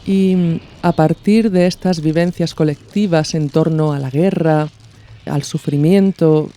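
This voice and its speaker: A man hosting a podcast, reading scripted educational narration with measured, explanatory phrasing. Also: a little high, dark tone, no echo. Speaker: podcast host.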